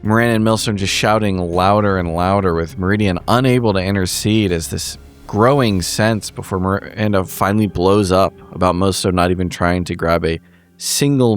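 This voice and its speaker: Man, low pitch, smooth clean voice, casual story recap, measured wry delivery. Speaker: podcast player giving the episode recap. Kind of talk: casual spoken recap of a horror roleplaying story.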